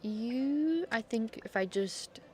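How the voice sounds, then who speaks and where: A woman's voice: a little high, animated, takes it slowly, warm tone. A woman streaming a game, thinking aloud in short, casual, reactive remarks as she plays. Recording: game music behind voice. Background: video game music.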